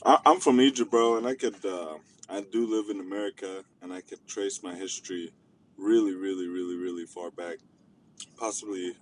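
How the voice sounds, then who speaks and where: A man's voice: sits low, steady tone, normal speed. Chill guy calling in, keeps saying relax bro, argues back easy. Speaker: caller. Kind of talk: call-in argument.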